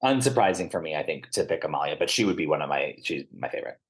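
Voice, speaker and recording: A man co-hosting a podcast, gushing in an animated way and often trailing off mid-thought. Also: rumbling low, very fast, slightly rough. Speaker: podcast co-host. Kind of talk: casual chat about a musical.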